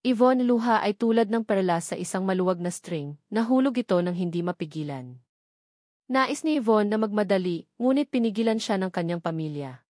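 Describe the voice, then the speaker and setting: Female narrator for serialized fiction: medium pitch, scripted delivery, dry, echo-free sound. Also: lively intonation, moderate pace, a little mellow. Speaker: audiobook narrator.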